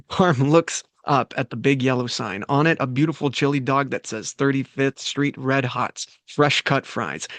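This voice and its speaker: A man's voice: medium pitch, no room sound. Man reading stage directions, dry mic. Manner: reads stage directions with self-corrections and laughs. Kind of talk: table read narration.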